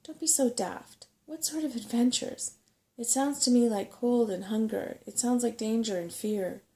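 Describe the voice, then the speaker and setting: A woman reads out a small-tempered storybook brownie, female, crabby and quick to scorn. The voice is soft-edged and mellow with a creaky grain, full of complaint. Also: a little high, natural voice. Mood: crabby, complaining. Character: grumpy, sharp-tongued female brownie creature. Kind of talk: storybook character voice in a soft-spoken reading.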